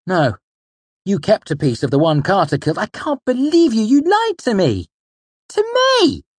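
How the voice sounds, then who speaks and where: A man's voice: on the high side, lively intonation, moderate pace, darkish tone, dry recording, pitched above the performer's own voice. A man voicing an indignant, theatrical young male fae creature, fussy and haughty, for a storybook. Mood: outraged, hurt.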